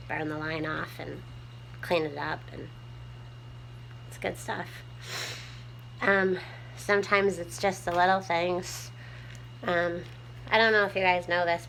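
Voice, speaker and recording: A woman with a small, soft voice, chatting casually to her viewers from home with lots of ums while she tidies up. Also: lowish voice, gravelly, slight background noise, low-quality recording. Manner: rambling, many ums, homespun talk. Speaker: prepping vlogger. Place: home room, tidying a table.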